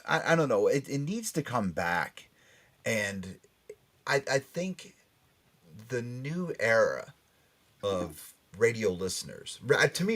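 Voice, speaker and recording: American male podcast host, middle-aged, mid-range voice, casual and halting delivery with repeated words. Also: mid-range voice. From Connecticut, United States. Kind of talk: casual panel chat.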